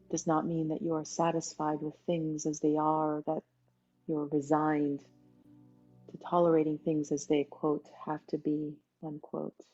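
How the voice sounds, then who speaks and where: A woman leading a guided meditation as its teacher, talking in an unhurried way with pauses between short phrases. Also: deep voice, completely flat delivery, darkish tone. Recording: dull lo-fi sound, music behind. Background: music.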